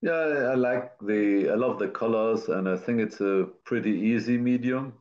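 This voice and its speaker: Male artist in his fifties, German accent, fairly low voice, reflective conversation, muffled video-call sound. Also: normal speed, a little mellow. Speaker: artist, interview guest. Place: indoor art studio, video call. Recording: slightly dull video-call audio.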